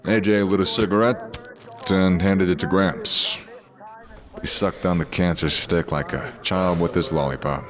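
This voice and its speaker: A man's voice: deep voice, fairly even intonation, a little slow, crowd noise. A man reading scripted narration for an audio drama as its narrator.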